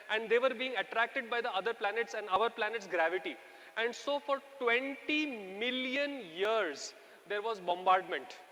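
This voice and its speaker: A man's voice: very high voice, pushed and bright. A man speaking to a live audience, telling a story and asking them questions. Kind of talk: lively talk to an audience. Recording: long hall reverb.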